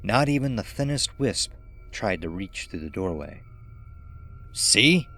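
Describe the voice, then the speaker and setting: A man narrates a horror tale in a fairly low voice. His delivery is highly animated and suspenseful, swinging widely in pitch as the drama unfolds. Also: moderate pace, soft background music. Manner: expressive, suspenseful storytelling.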